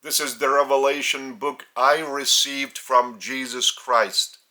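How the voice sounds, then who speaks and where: A man with a Lithuanian accent, a spiritual teacher speaking in short halting phrases with restarts. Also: mid-range voice, steady tone, pushed and bright.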